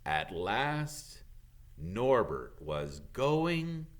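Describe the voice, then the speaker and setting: A man reading a children's novel aloud as a teacher would, in expressive storybook narration. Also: on the low side, drawn-out words.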